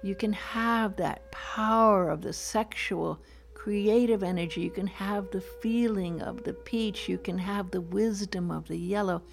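A woman leading a guided meditation in a soft, soothing, gentle voice, with poetic phrasing. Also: mid-range voice, steady tone, unhurried, vocal fry. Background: soft music.

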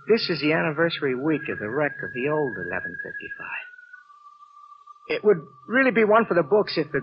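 A brash, scoffing stepson, talks in a highish, very lively voice with punchy 1940s radio delivery. The recording is dull and old. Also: male voice, a little hiss or hum. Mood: needling. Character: brash, impatient, mocking stepson of old man. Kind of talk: 1940s radio drama acting.

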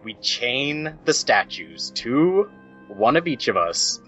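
A man's voice: mid-range voice, very animated, takes it slowly, a bit bright. A man playing a tabletop game, bantering casually in an insistent, jokey way. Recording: muffled audio, music bed. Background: music.

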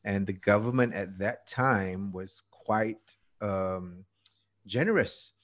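A man's voice: fairly low pitch, slow pace, fairly bright tone. A Malaysian man in his fifties, a podcast guest, telling his story in a casual way, laughing at himself and often trailing off. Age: 50s. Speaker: podcast guest, TED speaker. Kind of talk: conversational storytelling.

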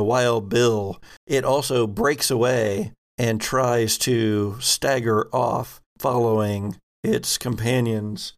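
Guy game mastering a tabletop rpg, lots of vocal fry, takes his time, pieces scenes together haltingly. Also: medium pitch. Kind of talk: narrating a tabletop roleplaying game.

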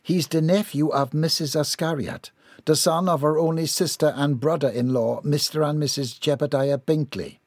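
Guy playing a composed society lady in an audiobook, raised pitch, formal and firm but placating, dry sound. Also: moderate pace, no echo. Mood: matter-of-fact. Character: composed, authoritative society woman, diplomatic and optimistic.

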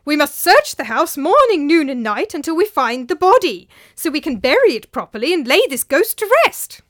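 A woman acts a superstitious old master in a storybook tale. He is stubborn and gullible, blustering emphatically in a bright, high voice. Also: sing-song, moderate pace, no room sound, clean studio sound. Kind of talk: dramatised storytelling.

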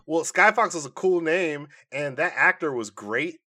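A man's voice: a little high, expressive, measured pace, sharp tone. A man co-hosting a podcast in a casual, chatty review style. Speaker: podcast co-host. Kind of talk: casual banter reviewing movies and shows.

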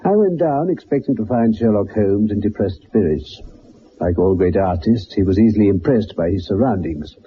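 An actor playing a genial, loyal gentleman with an English accent, well-spoken and literary, in the style of 1960s radio drama. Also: fairly low pitch, lively intonation, moderate pace, close to an everyday voice. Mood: reflective narration. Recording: muffled, noisy 1960s radio recording.

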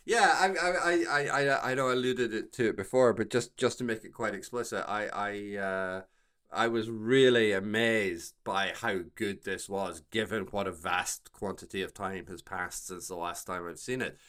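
A man co-hosting a podcast, discussing and critiquing in a casual, halting way, repeating words for emphasis. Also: fairly low pitch, a bit bright. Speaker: podcast co-host.

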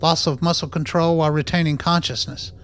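An actor playing a wry, world-weary scientist in a radio drama, with a dry, sardonic way of talking. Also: male voice, fairly high pitch, fairly even intonation, a little fast, fairly bright tone, smooth, lightly acted. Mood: inquiring.

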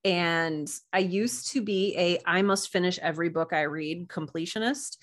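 A woman's voice: fairly low pitch, moderate pace. A woman chatting casually and informally as an interview guest, with emphatic little asides. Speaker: podcast guest, author.

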